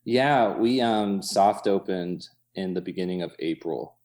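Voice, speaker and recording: A man talking casually as an interview guest, in an informal, halting way full of hedging asides. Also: low-pitched, darkish tone. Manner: informal, halting, many hedging asides, chuckles through speech. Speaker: interview guest, coffee shop co-owner. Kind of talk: casual chat. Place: inside his cafe.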